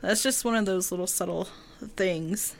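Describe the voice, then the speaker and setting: A woman's voice: on the low side, moderate pace, gravelly, slight background noise. A woman co-hosting a casual film discussion, explaining details in a tentative way. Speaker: podcast co-host.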